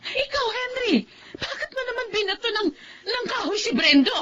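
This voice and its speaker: A woman's voice: extremely high pitch, animated, normal speed, sharp tone, heavily acted. An actress with a Filipino accent playing a worried, scolding mother in a radio drama, emotional and pressing. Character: worried, scolding mother, protective of her family. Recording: dull audio with music underneath.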